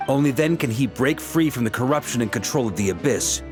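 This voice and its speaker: A man playing a confident, seasoned knight commander in a video game, explaining things in a genial, assured, measured way. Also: mid-range voice, animated, music in the background, close to an everyday voice. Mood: earnest. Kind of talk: video game dialogue acting.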